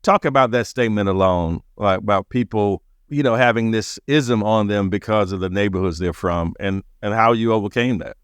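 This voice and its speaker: A man's voice: fairly low pitch, moderate pace, few pauses, clean tone, no echo. A man hosting a podcast interview, talking conversationally with his guest. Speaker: podcast host.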